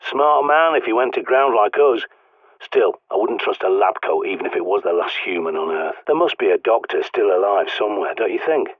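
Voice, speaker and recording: An actor playing a gruff ex-army male survivalist with a British accent, giving blunt orders over a radio link. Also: on the low side, lively intonation, fairly quick, no room sound. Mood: commanding. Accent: British English. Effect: radio transmission filter. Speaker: radio guide character. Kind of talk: video game voice acting. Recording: tinny radio-filtered dry studio voice.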